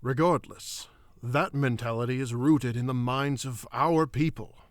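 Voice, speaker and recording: A man playing a thoughtful druid royal and father in a fantasy audio drama, speaking formally and in measured phrases. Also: medium pitch, no room sound, studio quality, close to an everyday voice. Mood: uneasy, guarded.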